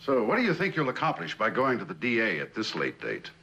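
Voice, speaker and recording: A middle-aged man playing a stern, cynical company boss in a 1960s television drama, lecturing in a measured, condescending way. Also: lowish voice, wildly swooping pitch, sharp tone, slightly rough, dull audio, full character voice. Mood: cold, probing. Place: indoor living room set.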